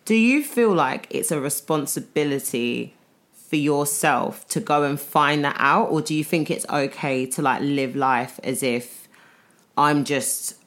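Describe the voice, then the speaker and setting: A young British woman co-hosting a podcast in casual, unfiltered chat, expressive and slangy. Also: deep voice, unhurried. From United Kingdom. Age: young adult. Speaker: podcast co-host.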